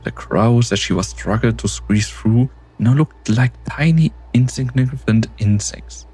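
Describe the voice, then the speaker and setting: A man's voice: mid-range voice, measured pace, mellow, slightly rough, low-quality recording. A man narrating a scripted story as the narrator of an amateur production.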